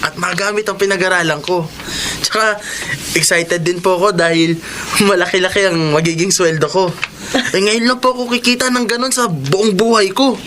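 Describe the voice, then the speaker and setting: An actor playing a humble, eager young graduate, earnest and respectful, in a Filipino radio drama. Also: male voice, sits high, steady tone, moderate pace, pushed and bright, a little hiss or hum. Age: young adult.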